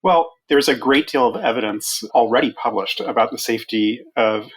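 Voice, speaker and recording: A man's voice: mid-range voice, normal speed, slightly rough, rough recording. A middle-aged American man speaking as an expert physician guest, explaining evidence in an interview.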